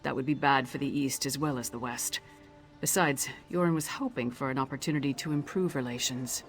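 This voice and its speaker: A woman's voice: very deep voice, brisk. A seasoned Nord warrior for a fantasy game. She is blunt, loyal and sure of herself, with a firm, dry delivery. Music plays faintly behind her. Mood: proud, resolute. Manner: firm, blunt, dryly self-assured. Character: battle-hardened Nord warrior woman, blunt, loyal, confident. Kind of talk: video game character dialogue.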